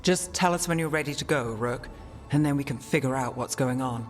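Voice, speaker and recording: An actor playing a cool, dry-witted investigator in a fantasy video game, wry and matter-of-fact. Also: male voice, medium pitch, fairly quick, soft background music, natural voice.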